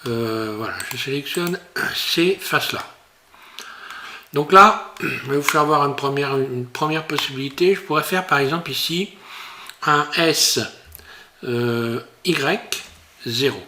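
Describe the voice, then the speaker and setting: A man teaching a software tutorial while he works on screen, talking in a hesitant, casual way full of fillers and restarts. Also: medium pitch, slow pace, pauses often, slight background noise. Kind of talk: screen-recorded tutorial narration.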